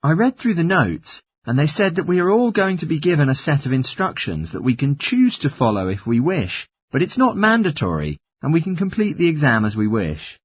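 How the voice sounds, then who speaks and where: A man's voice: fairly high pitch, big pitch swings, brisk, few pauses, husky, no room sound. A man playing an agreeable geography student in a scripted listening-test study dialogue. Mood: agreeable, reasoning. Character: male geography student, agreeable, a little nervous.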